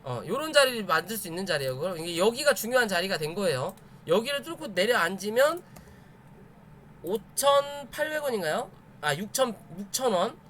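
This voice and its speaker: High-pitched man giving animated live chart commentary. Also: normal speed, a little hiss or hum. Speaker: stock chart analysis streamer.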